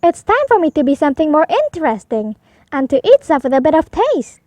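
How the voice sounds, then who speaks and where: Storybook hippo child, voiced by a woman: male, high-pitched, swooping, curious, stubborn, polite, emphatic. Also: sing-song, a little fast, darkish tone, dry recording. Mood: determined.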